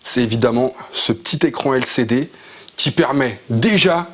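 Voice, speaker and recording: A man's voice: mid-range voice, moderate pace. A man with a French accent presenting motorcycle gear in an informal, explanatory and enthusiastic way, like a casual product review.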